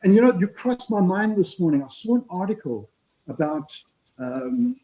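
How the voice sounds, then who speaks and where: Earnest man with a high, mellow voice. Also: lively intonation, normal speed, gravelly, poor audio. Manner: earnest, speechifying with repeated "right?". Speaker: podcast co-host. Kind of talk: casual live-stream chat.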